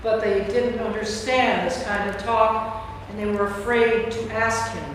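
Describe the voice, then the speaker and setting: A woman's voice: mid-range voice, flat delivery, unhurried. A woman reading scripted narration for a staged Bible reading. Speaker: narrator, priest. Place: large church interior. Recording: echoey church hall from far off, faint noise.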